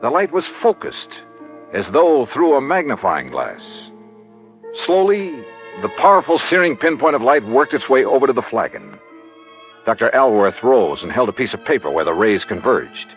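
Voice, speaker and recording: A man's voice: mid-range voice, big pitch swings, moderate pace, soft background music. An actor playing a tough, wry insurance investigator, narrating his case in clipped, dramatic old-time radio style. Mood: tense narration. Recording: dull vintage radio recording.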